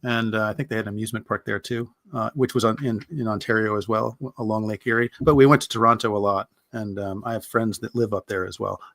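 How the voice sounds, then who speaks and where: A man's voice: on the low side, steady tone, fast talker, creaky, few pauses, a bit bright, no echo. A man co-hosting an interview in casual, conversational chat. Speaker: interview co-host.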